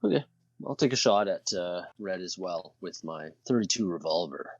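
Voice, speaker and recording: A man with a deep voice takes part in a tabletop game as a player. He talks casually, as if chatting round the table. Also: animated, moderate pace, highs cut off.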